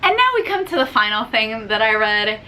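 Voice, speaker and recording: A woman's voice: on the high side, big pitch swings, a little fast, sharp tone, slight background noise, low-quality recording. A young woman whose first language is Spanish, chatting casually and ranting animatedly about a book, with lots of fillers. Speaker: book vlogger. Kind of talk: casual book review chat.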